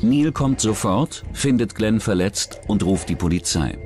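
A man narrating a scripted true-crime documentary in a measured, matter-of-fact manner. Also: fairly low pitch, expressive. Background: background music.

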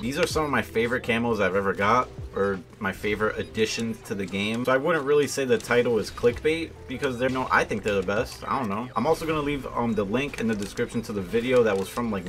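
A man's voice: on the low side, fast, hardly any pauses, fairly bright tone, faint music behind. Casual young man commentating gameplay. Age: young adult. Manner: slangy, informal, conversational. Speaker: gaming video host. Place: indoor room at home, gaming setup.

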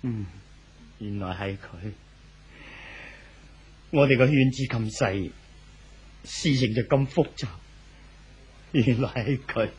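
A man acting an anxious, helpless husband in a 1970s radio drama, halting and imploring, in Hong Kong Cantonese. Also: medium pitch, darkish tone, slightly rough. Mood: stunned questioning.

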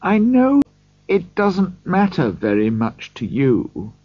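A man voicing a heartbroken young woman who speaks softly and earnestly. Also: sits high, wildly swooping pitch, slow pace, dry recording, pitched above the performer's own voice, exaggerated voice. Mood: sorrowful. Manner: soft, sorrowful and earnest. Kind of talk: storybook reading. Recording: dull lo-fi recording.

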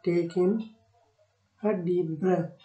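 A woman guiding a meditation in short, soothing phrases with long pauses between them. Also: lowish voice, steady tone, dark tone, muffled recording. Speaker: meditation guide. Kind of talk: guided meditation narration. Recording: roomy echo on the voice.

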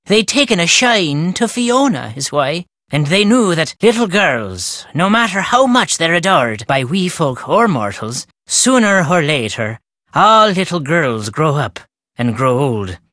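An actor playing a crotchety, superstitious old Irish caretaker in a video game, telling tales in a folksy, ominous, emphatic way. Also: male voice, fairly high pitch, big pitch swings, a little slow, bright, forceful tone, no echo, studio quality, full character voice. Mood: ominous storytelling. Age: older. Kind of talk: theatrical storytelling game dialogue.

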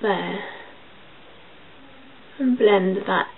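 A young woman with a croaky voice, chatting casually through a makeup tutorial in her bedroom, with filler ums as she goes. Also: medium pitch, very slow. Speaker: beauty vlogger. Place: small bedroom. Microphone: built-in webcam mic. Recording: tinny lo-fi webcam audio, faint hiss.

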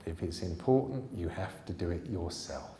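A man reading as a menacing gentleman killer, echoey. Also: sits low, expressive, normal speed, creaky, a little hiss or hum. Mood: cold, menacing. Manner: controlled, threatening. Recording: echoey hall, public reading.

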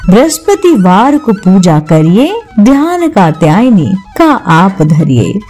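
A woman narrating a scripted devotional programme and reciting prayers in a measured, deliberate way. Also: mid-range voice, sing-song, dark tone. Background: music.

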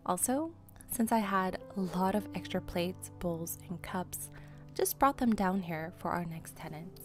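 A woman narrating a tour of her own home in a calm, scripted voice-over. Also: on the low side, unhurried, faint music behind. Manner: calm, even, tidy tour-guide delivery. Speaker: homeowner presenting a house tour.

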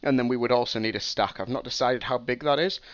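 A man's voice: medium pitch, quick pace, no room sound. A young man talks through a design on a casual live stream, thinking aloud and correcting himself as he goes. He has a cold and sounds sniffly. The sound is dry and a bit dull, as from a home streaming setup. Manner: thinking aloud, many ums and restarts. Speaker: streamer teaching game programming.

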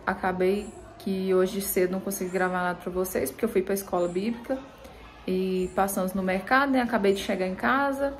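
A woman's voice: mid-range voice, a little hiss or hum. A young woman with a Brazilian Portuguese accent chatting casually to her phone camera in her home kitchen, with many little tag questions. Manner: chatty, relaxed, with many "né" tags. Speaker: vlogger. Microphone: phone held close, selfie framing.

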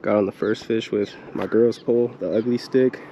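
A man's voice: on the low side, steady tone, normal speed, dark tone, slight background noise. A man casually talking viewers through a fishing trip, laid-back and chatty, calling them guys and bro. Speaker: fishing vlogger. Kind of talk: casual outdoor commentary. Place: outdoors by an aqueduct.

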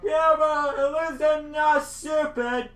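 A young man voicing a rude, taunting boy who yells insults at him, pitched up high. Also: steady tone, slow pace, echoey room, recorded from a distance, heavily acted. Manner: yelling insults.

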